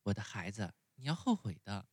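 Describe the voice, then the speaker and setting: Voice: low-pitched, big pitch swings, fairly quick, darkish tone, breathy edge, no echo, clean studio sound, heavily acted. A storyteller voicing a stubborn, whining wooden puppet boy who is sick in bed. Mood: wailing. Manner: whining, pleading, wailing.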